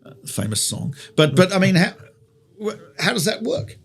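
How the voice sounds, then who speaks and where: An Australian man teaches as a rabbi in a conversational, storytelling way. His voice sits a little high for a man and has a clear gravelly fry. He poses rhetorical questions and repeats key phrases for emphasis. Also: very animated, normal speed.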